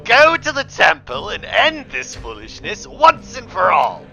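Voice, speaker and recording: A man voicing a sinister dark overlord in a fan dramatic reading, speaking in a slow, gravelly, commanding way. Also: sits high, wildly swooping pitch, faint music behind, exaggerated voice, rough recording. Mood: menacing.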